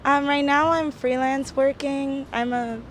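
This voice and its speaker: A woman from Miami answers a street interview outdoors, relaxed and loose with her "um"s. Her voice sits a bit high, with faint city noise around her. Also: unhurried. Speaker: interviewee, research assistant.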